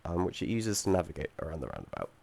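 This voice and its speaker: A young man with a croaky voice from feeling unwell, explaining his game project and code with frequent ums and restarts and the odd dry aside. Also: deep voice, huge pitch swings, racing, darkish tone. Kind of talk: screen-recorded code walkthrough.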